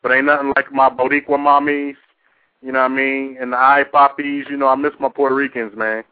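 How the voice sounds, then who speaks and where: A man's voice: medium pitch, flat delivery, a little fast. A man hosting a casual call-in talk show, speaking in loose slang and repeating himself for emphasis. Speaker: talk radio host. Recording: narrow, tinny phone-line radio sound.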